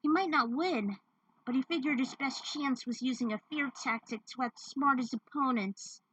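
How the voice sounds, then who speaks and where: Bright, forceful woman reading a story. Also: high voice, moderate pace, slightly rough, no room sound, rough recording. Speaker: fan fiction narrator. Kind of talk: dramatic story read-aloud.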